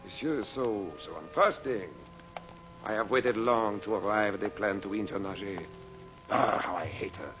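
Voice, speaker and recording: An actor playing a sly, mock-humble sorcerer, over-polite in broken English, in radio melodrama style. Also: male voice, on the low side, normal speed, music in the background, close to an everyday voice. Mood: quietly scheming. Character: sly, obsequious male foreign sorcerer, mock-humble schemer. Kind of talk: radio serial melodrama acting. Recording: muffled, hissy old radio recording.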